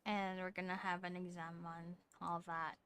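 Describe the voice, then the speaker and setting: A woman's voice: fairly low pitch, flat delivery, normal speed, a bit bright, smooth. A young adult woman chatting casually on a live game stream, informal and musing.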